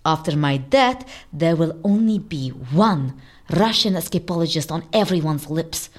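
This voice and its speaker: A woman's voice: low-pitched, animated, measured pace. A woman playing a vain, theatrical Russian escapologist in an audio drama, grandiose and full of herself. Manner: grandiose, self-admiring.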